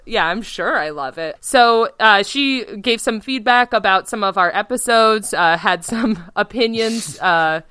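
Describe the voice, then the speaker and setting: A woman co-hosting a casual podcast, animated and chatty, with a loud voice, filler-heavy talk and frequent laughs. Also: mid-range voice, unhurried, few pauses, clear, resonant tone, no echo. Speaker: podcast co-host.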